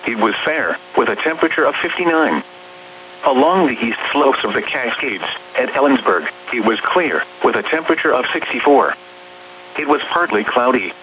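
Synthetic man, weather radio announcer, measured impersonal readout, dull lo-fi reception, steady noise and hum. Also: mid-range voice. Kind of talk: automated weather bulletin readout.

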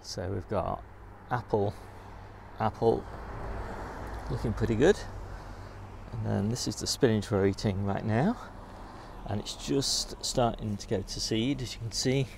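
Middle-aged man, lowish voice, creaky, understated, casual walking vlog narration, outdoors. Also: a little slow. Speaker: gardener giving a garden tour. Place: outdoors in a home garden. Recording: clear outdoor background noise.

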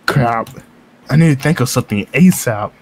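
A man's voice: mid-range voice, expressive, normal speed. A man reading a visual novel aloud as a let's-play host, with crude jokes, swearing and exclamations. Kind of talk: casual gaming commentary with read-aloud narration.

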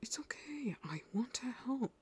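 Gentle, caring teen anime boy played by a woman, very breathy and often whispered, slow and soothing. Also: fairly high pitch, very animated, full character voice. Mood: tender concern. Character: gentle, caring teenage boy comforting a frightened partner. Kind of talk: anime audio roleplay.

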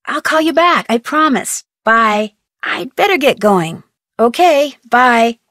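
A woman's voice: a little high, lively intonation, takes it slowly, fairly bright tone, no room sound, clean studio sound. A young woman voicing a curious, polite amateur detective in recorded game dialogue, asking earnest questions. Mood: friendly. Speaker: game protagonist. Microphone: close studio mic.

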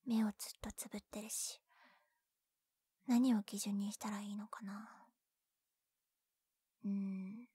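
A woman voicing a bashful, secretly caring tsundere young childhood friend in close-up ASMR roleplay, breathy and often whispering, scolding yet tender. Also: a little high, a little mellow, no echo, full character voice. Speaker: voice actress in roleplay audio. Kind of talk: Japanese ASMR situation-voice roleplay. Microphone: close binaural microphone, dry.

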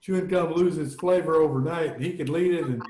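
An older man on a video call, casually suggesting songs with a few halting restarts. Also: a little high, a little mellow, reverberant. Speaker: group member. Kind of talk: casual chat.

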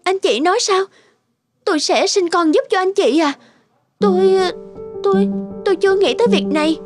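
A woman's voice: very high-pitched, normal speed. A woman playing a humble, kind young housekeeper in a cartoon, speaking politely and deferentially. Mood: hesitant. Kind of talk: Vietnamese cartoon voice acting. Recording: music behind the voice.

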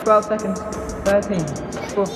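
A man's voice: sits high, level tone, unhurried. Young man in an echoey hall. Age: teens to young adult. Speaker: vlog host. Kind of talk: casual vlog presenting and race commentary. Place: large empty abandoned indoor hall. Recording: echoey empty hall, loud music behind.

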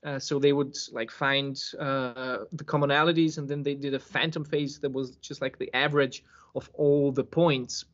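A man, hosting a podcast interview over a video call and pausing often with ums and restarts. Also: medium pitch, flat delivery, a bit bright. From Serbia. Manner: hesitant, many ums and restarts. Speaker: podcast host. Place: home room with bookshelf. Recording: muffled video-call audio.

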